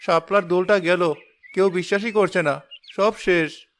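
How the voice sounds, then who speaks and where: A man's voice: sits high, steady tone, a little slow, a bit bright, a little hiss or hum. A man playing a poor, earnest young father and cook in a cartoon drama, anxious but hopeful. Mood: despairing. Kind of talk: Bengali cartoon drama voice acting.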